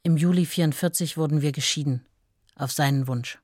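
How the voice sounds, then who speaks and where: A woman's voice: deep voice, fairly quick, darkish tone, no room sound, studio quality. An actress playing a worn-out, candid ex-wife who gives her recollections in a radio drama. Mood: sober, candid.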